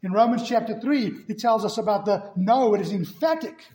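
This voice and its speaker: Guy preaching in church, kinda high voice, punches words over and over, roomy echo. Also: fairly quick, a little mellow. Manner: emphatic, repeats key words with rising force. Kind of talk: sermon. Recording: echoey room.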